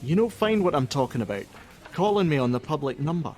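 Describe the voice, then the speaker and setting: A man's voice: fairly high pitch, expressive, quick pace, darkish tone, lightly acted. A middle-aged man, playing an irritable, evasive father who works on a helpline in a radio play. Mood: angry, exasperated. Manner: curt, sarcastic. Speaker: helpline worker.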